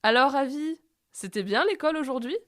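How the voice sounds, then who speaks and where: A woman's voice: high voice, animated, a little fast. A woman performing poetic scripted lines. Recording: noisy with clicks behind.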